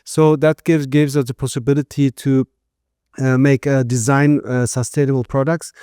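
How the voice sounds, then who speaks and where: A middle-aged man from Germany, a native German speaker, answering interview questions in a halting way with many fillers. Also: mid-range voice, flat delivery, mellow, clear, resonant tone, no room sound, studio quality. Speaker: guest, textile manufacturer.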